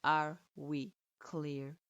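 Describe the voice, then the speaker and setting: A woman's voice: low voice, little pitch movement, extremely slow, no echo, voice pushed down, exaggerated voice. A woman voicing a harsh male ninja instructor who speaks coldly and with derision, recorded with a dull lo-fi sound. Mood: derisive. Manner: cold, derisive, stern.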